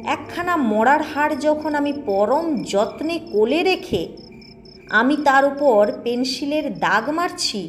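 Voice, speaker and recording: Casting: female audio story narrator, high voice, unhurried delivery, faint music underneath. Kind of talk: scripted first-person ghost story narration.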